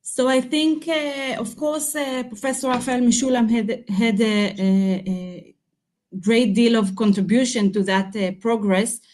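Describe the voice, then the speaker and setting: A woman from Israel with an Israeli accent, a guest researcher answering interview questions over a video call, pausing often to search for words. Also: on the high side, fairly even intonation, darkish tone.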